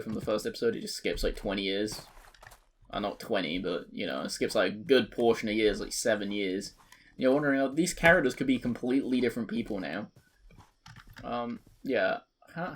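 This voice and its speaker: A man in his twenties giving rambling, joking commentary over a video game, his voice cracking and jumping between high and deep. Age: 20s. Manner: rambling, joking, self-mocking. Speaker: let's play creator. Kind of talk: casual gameplay commentary.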